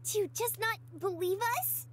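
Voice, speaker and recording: A woman's voice: extremely high pitch, moderate pace, a bit bright, a little hiss or hum. A woman voicing a bubbly, eager, affectionate young spirit girl in a visual novel game, with a folksy, chirpy way of talking. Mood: pleading.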